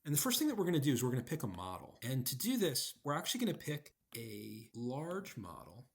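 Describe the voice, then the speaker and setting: Man with lively, swinging intonation. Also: mid-range voice, a little fast, vocal fry. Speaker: software demo presenter. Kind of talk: screen-recorded tutorial narration.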